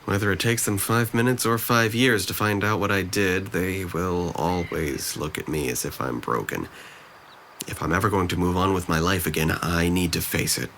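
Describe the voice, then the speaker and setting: Low-voiced, hesitant, depressed young man, acted. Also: gravelly, a bit bright, slight background noise. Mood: anxious, resigned. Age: young adult. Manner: hesitant, halting, subdued. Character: depressed, self-blaming young man ending a summer romance. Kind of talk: naturalistic dramatic dialogue.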